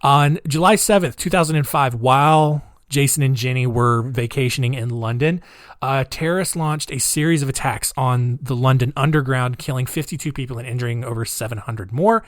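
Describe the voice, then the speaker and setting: A man hosting a casual storytelling podcast, chatty and animated, talking straight to his listeners as guys with lots of asides, moving between loud emphasis and quiet remarks. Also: mid-range voice, a little fast, no echo, studio quality. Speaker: podcast host.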